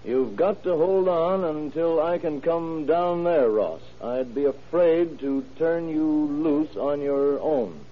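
An actor playing a wry, needling, eager police detective with a folksy, teasing manner in old-time radio crime drama style. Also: male voice, on the high side, takes it slowly, hardly any pauses. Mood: deliberate, reading aloud. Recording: tinny, noisy vintage radio recording.

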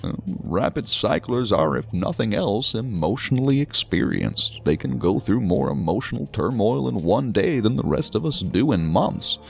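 An actor playing a talkative, learned psychiatrist who muses and explains at length in a science fiction audio drama. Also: male voice, on the low side, very animated, moderate pace, gravelly, hardly any pauses, breathy edge, faint music behind, full character voice. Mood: engaged, explanatory. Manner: wordy, musing, explanatory. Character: male psychiatrist, talkative, learned, genially hedging.

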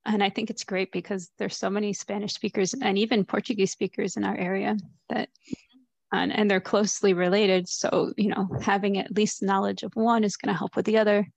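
Flat, gravelly-voiced woman host. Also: mid-range voice, fairly quick, no room sound. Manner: encouraging, asks follow-up questions. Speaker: podcast host. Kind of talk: casual interview chat.

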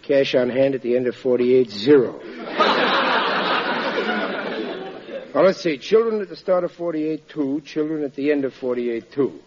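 An actor playing a hapless, stubbornly optimistic working-class husband in a 1940s radio sitcom. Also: male voice, mid-range voice, animated, brisk, over a noisy crowd, heavy background noise, lightly acted. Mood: muttering, glum. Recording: dull vintage 1940s radio recording.

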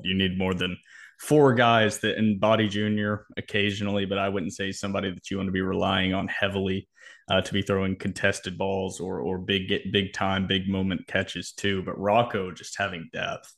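A man's voice: deep voice, monotone, moderate pace, fairly bright tone. An American man co-hosting a sports podcast, giving casual analysis of an upcoming football game.

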